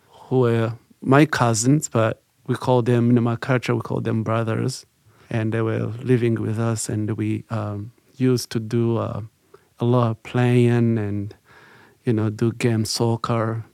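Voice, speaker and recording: A man, telling his life story in a reflective, halting way with many fillers. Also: mid-range voice, creaky, darkish tone, no echo. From Rwanda. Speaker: interview guest. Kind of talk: recalled life story, casual.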